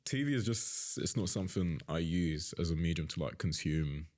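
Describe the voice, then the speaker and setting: A man's voice: sits low, expressive, moderate pace, darkish tone. A young man in his twenties co-hosting a casual podcast, rambling and packing his speech with "like" and "you know". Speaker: co-host and interviewer.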